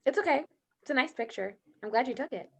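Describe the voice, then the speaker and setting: Woman playing a creaky-voiced talking dog. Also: high-pitched, expressive, fast talker, slight background noise. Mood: soft, fond. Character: talking dog, formerly a young woman, fond friend. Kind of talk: script table read.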